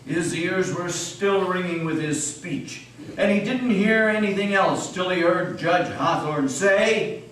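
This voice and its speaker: Slow high-voiced male narrator, echoey hall. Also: fairly bright tone. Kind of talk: scripted dramatic story reading. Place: old meeting house, live performance. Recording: very echoey hall, distant mic, faint noise.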